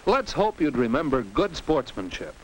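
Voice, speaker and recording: A man's voice: medium pitch, big pitch swings, moderate pace, a little mellow. An American man giving scripted, didactic narration for a 1950s educational film for teens, in the manner of a commentator who poses questions and exclaims. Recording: noisy old 1950s film soundtrack.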